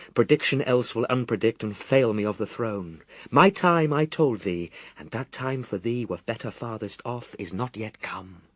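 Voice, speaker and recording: A man playing Jesus as a calm, steadfast Saviour, deliberately rebuking temptation in solemn radio drama verse. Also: lowish voice, no room sound. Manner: deliberate, stern, dismissive of temptation.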